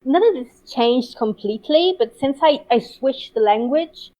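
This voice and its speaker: Italian woman guest, higher voice, muffled lo-fi call audio, lots of restarts. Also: animated, dark tone. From Italy. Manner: halting, many restarts and repeated words. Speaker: guest translator. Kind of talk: interview over a call.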